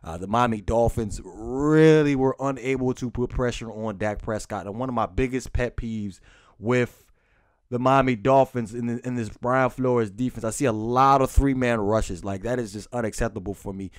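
A man's voice: medium pitch, no echo. Informal man recapping football, dry close sound. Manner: informal, repeats phrases for emphasis, halting. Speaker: sports commentary vlogger and football fan. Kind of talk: unscripted post-game football recap.

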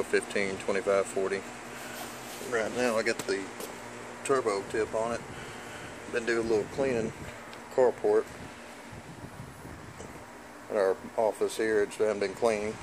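A man giving a relaxed, rambling review of his gear outdoors, recorded on a handheld phone. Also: fairly low pitch, background noise. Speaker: product reviewer. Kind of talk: casual product review. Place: outdoors on a lawn beside a carport. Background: running engine and spraying water.

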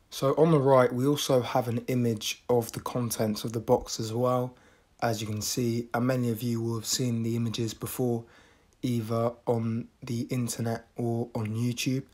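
A man giving an unboxing review voice-over in a measured, matter-of-fact way. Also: medium pitch. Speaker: video reviewer.

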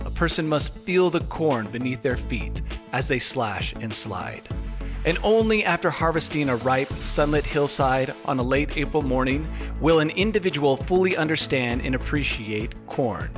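Deadpan male narrator over prominent music. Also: mid-range voice, moderate pace. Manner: deadpan, tongue-in-cheek formal delivery. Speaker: narrator of a skiing explainer video. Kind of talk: scripted narration, mock-documentary. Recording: music bed under voice-over.

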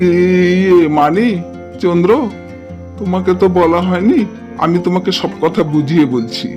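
A landlord played by a man in a cartoon melodrama, with a high voice for a man. He sounds hesitant and pleading, a dutiful son, with soft music behind him. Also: normal speed, dull audio, lightly acted. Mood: flustered. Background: background music.

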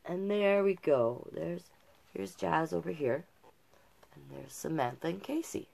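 A middle-aged woman with a slightly low voice reads a children's picture book aloud at a slow pace. She is expressive and drops in her own asides as storytime host. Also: gravelly, a little hiss or hum. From Canada. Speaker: drag king storytime host. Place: small cluttered indoor room.

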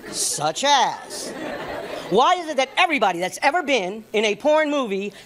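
An older man from New York City doing stand-up comedy, emphatic and animated, performing to a crowd. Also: very high-pitched, moderate pace. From New York City, United States. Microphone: stand microphone on stage. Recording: noisy comedy club with audience.

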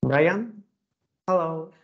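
A man's voice: a little high, animated, extremely slow, gravelly. A man speaking hesitant beginner English as a student in an online class. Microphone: video call. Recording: muffled video call audio.

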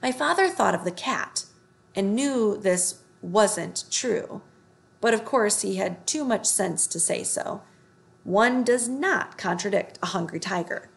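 Creaky-voiced woman reading a children's story. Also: medium pitch, expressive, takes it slowly. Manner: emphatic, storytelling delivery. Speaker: read-aloud narrator. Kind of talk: expressive children's book reading. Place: living room on a couch, indoors.

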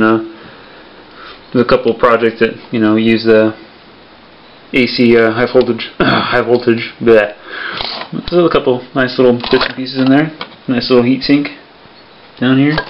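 A young man casually showing off electronics bits at his home workbench, rambling with lots of fillers, recorded on the camera's own mic. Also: mid-range voice. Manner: relaxed, rambling, many fillers. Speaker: electronics hobby vlogger. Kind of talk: casual show-and-tell vlog. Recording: dull lo-fi camera audio with faint hiss.

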